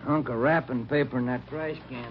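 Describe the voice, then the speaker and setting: Actor as dry police sidekick, muffled recording. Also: male voice, mid-range voice, steady tone, a little fast, close to an everyday voice. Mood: wry. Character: wry, grumbling male police detective sidekick. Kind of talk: 1940s-50s radio acting. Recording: dull, noisy old-time radio recording.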